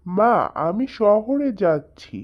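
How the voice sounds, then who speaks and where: Lady doing a dutiful young cartoon son, earnest, medium pitch, muffled sound. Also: expressive, slow pace. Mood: earnest, hopeful. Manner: earnest, affectionate.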